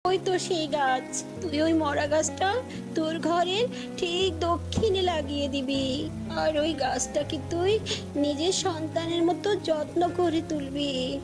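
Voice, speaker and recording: A woman voicing a loving, dying mother bird with solemn, halting pleas, in an animated fable. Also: high voice, over music. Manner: slow, solemn, halting pleading.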